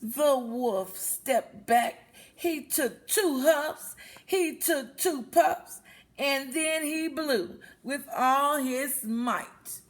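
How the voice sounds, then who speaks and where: Slow-paced woman reading a children's story. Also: high voice. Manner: explains words with questions to young listeners. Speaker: read-aloud storyteller.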